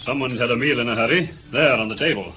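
An actor playing a dry, matter-of-fact police inspector with an English accent in a mid-century radio play. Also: male voice, mid-range voice, quick pace, lightly acted. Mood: practical, watchful. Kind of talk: mid-century British radio drama acting. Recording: tinny, hissy old radio recording.

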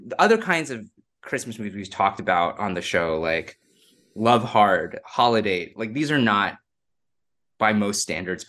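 Man, lowish voice, casual podcast chat, thoughtful, hedging. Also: a bit bright. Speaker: podcast co-host.

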